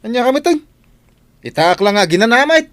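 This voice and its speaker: Comedy radio drama: lazy middle-aged male slacker, played by a man, whiny, joking, very animated pitch. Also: brisk, no room sound, full character voice. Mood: lazy, whining. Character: lazy, jokey middle-aged male office utility worker.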